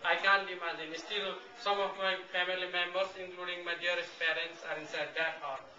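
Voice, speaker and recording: Earnest man, bright tense voice. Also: high-pitched, flat delivery, slight background noise. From Bhutan. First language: Nepali. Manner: earnest, halting, repeats phrases. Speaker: refugee guest speaker. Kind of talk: public talk. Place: meeting room, indoors. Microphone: far camcorder. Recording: echoey, distant, dull camcorder audio.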